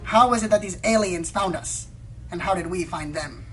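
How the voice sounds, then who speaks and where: A woman's voice: lowish voice, normal speed, natural voice, poor audio. A woman playing a sensationalist pony news anchor in a radio play, theatrical and insistent. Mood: pressing, probing. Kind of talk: melodramatic newscast in an amateur radio play. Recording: music under the voice.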